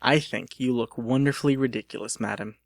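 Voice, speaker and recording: A man's voice: medium pitch, steady tone, a little fast, no room sound, studio quality, close to an everyday voice. A man voicing an earnest, overly polite young mechanic with courteous, formal manners in a dramatic audiobook. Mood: polite amusement. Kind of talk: dramatic audiobook character reading.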